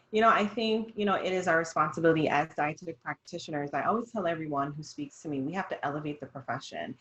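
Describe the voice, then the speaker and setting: A woman in her thirties with a slight Jamaican accent tells her story in an easy, conversational way. Her voice sits a little low, and she leans on fillers as she speaks. She comes across as earnest. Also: fairly bright tone, lo-fi sound. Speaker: dietitian, host. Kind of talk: casual conversational discussion.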